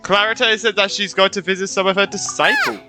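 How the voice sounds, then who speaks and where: A man's voice: high-pitched, lively intonation, quick pace, over loud music. A young man giving casual, informal gameplay commentary as a streamer, reacting and reading game dialogue aloud. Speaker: gaming streamer. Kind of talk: casual let's play commentary.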